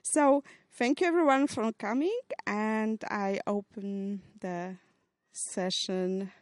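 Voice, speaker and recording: A woman's voice: medium pitch, slow, no echo, clean studio sound. A woman opening a public session and thanking its funders, hesitant and searching for words in English. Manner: hesitant, non-native English phrasing. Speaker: event organizer opening the session. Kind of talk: opening remarks.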